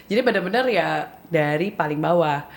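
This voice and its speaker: A woman's voice: fairly low pitch, animated, fairly quick. A woman in her thirties with a Bandung accent, a business-owner guest chatting casually, telling her story with laughs and acted-out quotes. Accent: Bandung Indonesian. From Indonesia. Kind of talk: casual podcast conversation, mixing Indonesian and English.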